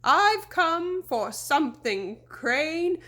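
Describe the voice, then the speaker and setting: A woman doing a slow, moaning ghostly horseman. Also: sits high, very animated, a bit bright, pitched above the performer's own voice, heavily acted. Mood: menacing.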